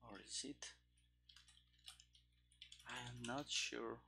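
A man in his thirties giving casual, halting live coding commentary into a headset mic, musing to himself as he works. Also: medium pitch, level tone, gravelly, noisy background. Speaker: streamer, game developer. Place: indoor room at a computer. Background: keyboard typing and clicking.

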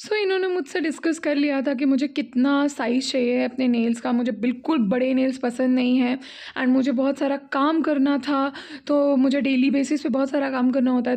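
A woman's voice: sits high, a little mellow. A young woman with an Indian accent narrating a casual beauty vlog, switching between two languages as she talks. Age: young adult. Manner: switches between Hindi and English. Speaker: beauty vlogger.

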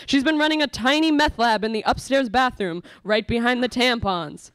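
A woman's voice: fairly high pitch, normal speed, clean tone, dry recording. A young adult woman giving scripted narration for a live radio play. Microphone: stand microphone.

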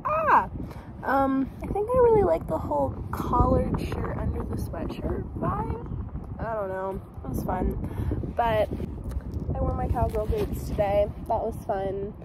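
A woman's voice: fairly high pitch, sing-song, measured pace, very noisy. A teenage girl vlogging to her phone, chatting upbeat and rambling with lots of fillers. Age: teens. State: losing her voice. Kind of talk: casual vlog chat. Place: bedroom at home, sometimes outdoors or in a car. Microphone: phone camera held close.

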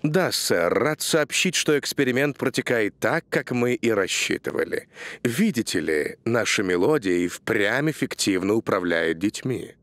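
A man's voice: fairly low pitch, animated, a little slow, fairly bright tone, dry recording. A man playing an obedient elderly butler in a cartoon dub, speaking formally and deferentially. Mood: smug, formal. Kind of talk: animated series dub acting.

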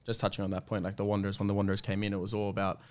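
A man chatting as a podcast guest, rattling along at a fast clip. His pitch stays flat and level. The voice sits a little low, and he restarts his sentences often. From Australia. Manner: quick, run-on talk with many restarts. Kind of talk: casual podcast chat.

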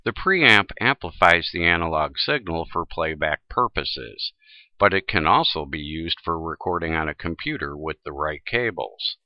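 Male tutorial presenter, deep voice, scripted how-to read, dry and slightly dull recording. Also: lively intonation, normal speed, no room sound. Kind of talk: scripted instructional narration.

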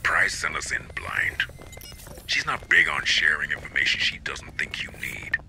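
An actor playing a hardened, cynical security captain in a video game, gruff, gravelly and sardonic. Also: male voice, a bass voice, big pitch swings, moderate pace, bright, forceful tone, slight background noise, full character voice. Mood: grim, bitter. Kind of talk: cinematic game acting.

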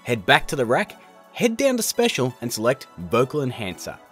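A man hosting a software tutorial in a casual, chatty, jokey narrating style. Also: mid-range voice, wildly swooping pitch, normal speed, faint music behind. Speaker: tutorial host.

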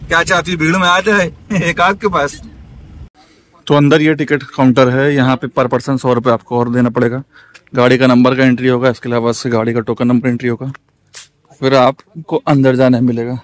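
A man narrating a casual travel vlog outdoors and from a jeep, chatty and excited, joking with his companions. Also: mid-range voice, normal speed. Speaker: travel vlogger. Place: outdoors and in a jeep on a bumpy road.

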